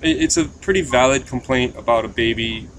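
Man with slight background noise, halting delivery. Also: mid-range voice, a bit bright, low-quality recording. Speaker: live streamer, prank caller. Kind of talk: casual livestream chat and prank phone call.

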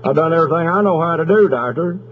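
A man's voice: fairly high pitch, fast, lightly acted. Man acting a belligerent country husband, tinny phone. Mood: frustrated. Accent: Southern US, Oklahoma. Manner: folksy, rambling, deadpan menacing. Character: aggrieved, belligerent country husband threatening a whooping. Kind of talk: prank phone call. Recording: noisy, tinny telephone line.

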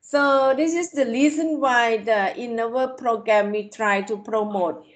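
A middle-aged Thai-accented woman lectures over a video call, her voice a touch higher than most women's. She talks in halting English, restarting sentences and leaning on "kind of". It sounds like a tablet mic in a room at home. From Thailand. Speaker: university lecturer. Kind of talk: online panel talk.

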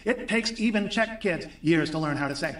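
Man presenting a pronunciation lesson, playful, higher voice, echoey room replayed from afar. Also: animated, fairly bright tone, distant mic. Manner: playful, demonstrates sounds slowly. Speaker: video presenter. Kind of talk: explaining pronunciation. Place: room with bookshelves.

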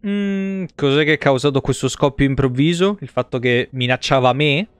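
A man's voice: medium pitch, normal speed, clear, resonant tone, no room sound. A man, around his thirties, giving casual, joking live commentary on a game and swearing freely. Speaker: game streamer. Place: indoor home streaming setup.

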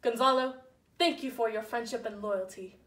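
A commanding sock puppet lord, played by a young woman, speaks in a high, theatrical voice. The sound is roomy and distant, like a homemade stage play. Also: normal speed, noisy background, lightly acted. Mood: emotional. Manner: theatrical, declamatory. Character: commanding, forgiving sorcerer and grieving king, sock puppets. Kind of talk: amateur puppet-show acting. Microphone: phone camera at a distance.

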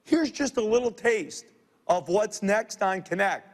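A man giving a scripted keynote, measured and enthusiastic as he shows off a product. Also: high voice, expressive. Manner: measured, enthusiastic showcase delivery. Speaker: keynote presenter. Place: large convention hall stage. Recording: reverberant hall sound.

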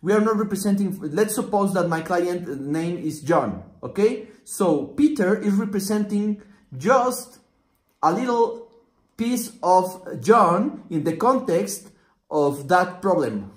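A man in his forties, a native Spanish speaker, explaining a consulting method at a whiteboard with many pauses and restarts. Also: sits high, animated. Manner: halting, many fillers and restarts, acts out client dialogue. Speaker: systemic consultant teaching a method. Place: small room with whiteboard, indoors. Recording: echoey room.

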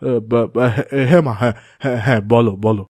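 A man's voice: medium pitch, steady tone, fast talker, a little mellow, dry recording, clean studio sound, close to an everyday voice. A man voicing an adult father in a cartoon, dejected and easily swayed, with a hesitant, earnest manner. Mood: distracted, disappointed. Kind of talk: cartoon voice acting in moral story.

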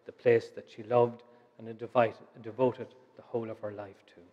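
A middle-aged Irish priest leading funeral Mass prayers in measured liturgical recitation at a stand mic in a large church. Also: male voice, medium pitch, little pitch movement, darkish tone. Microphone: stand mic at altar. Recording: lo-fi church livestream with long reverb.

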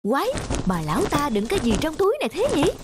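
An actor playing a greedy, suspicious old rich man in a folktale cartoon, muttering and exclaiming excitedly, with music underneath. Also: extremely high pitch, quick pace. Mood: grumbling, suspicious. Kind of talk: animated folktale cartoon acting.

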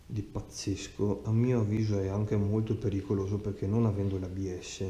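A man's voice: on the low side, level tone, normal speed, mellow. A man chatting casually about his motorcycle, hesitant and full of fillers, recorded hands-free in a cluttered garage. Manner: rambling, hesitant, many fillers and restarts. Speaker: motorcycle vlogger. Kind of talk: casual unboxing vlog talk. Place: cluttered garage at night, indoors. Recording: dull roomy garage recording with faint hiss.

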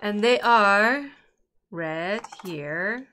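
A middle-aged woman plays and co-hosts a board game session indoors. She talks casually and works through her moves out loud, in a medium-pitched voice. The delivery is conversational and unscripted. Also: animated, drawn-out words. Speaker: board game show co-host and player. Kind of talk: casual live board game playthrough chat. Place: indoor room at a game table.

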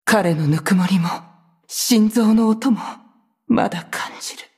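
A proud sorceress of a cursed clan in a video game. Her voice is husky and breathy, and she speaks slowly, with cold, haughty menace. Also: mid-range voice, darkish tone. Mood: wistful, grieving. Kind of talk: video game character voice lines in Japanese.

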